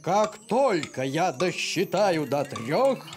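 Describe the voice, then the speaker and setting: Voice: medium pitch, big pitch swings, takes it slowly, soft background music. An actor voicing an easygoing, put-upon male dog with a plaintive, colloquial manner in a children's cartoon. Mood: miffed.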